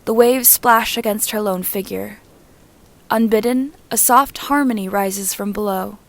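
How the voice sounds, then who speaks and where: A woman narrates prose fiction in a mid-range voice. Her reading is measured and descriptive, following a written script as in an audiobook. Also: mid-range voice. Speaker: reader and narrator of fan fiction.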